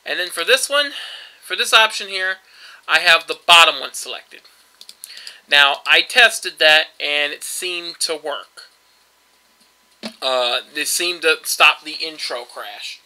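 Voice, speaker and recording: A man's voice: a little high, expressive, takes it slowly, pauses often, pushed and bright, low-quality recording. A man casually commentating a game he is playing, rambling and offhand with lots of fillers. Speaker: let's play host.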